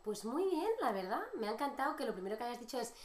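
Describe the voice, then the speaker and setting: A woman with an accent from Spain, a singer-songwriter guest, chatting in an animated, talkative way. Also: fairly high pitch, quick pace. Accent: Spanish from Spain. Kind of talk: casual interview chat. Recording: echoey room.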